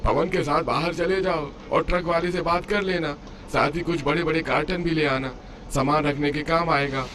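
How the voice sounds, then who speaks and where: A man's voice: on the high side, fairly even intonation, moderate pace, crowd noise, natural voice. A man voicing a genial, practical father-in-law, polite and coaxing, in a cartoon story. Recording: clear background noise under voice.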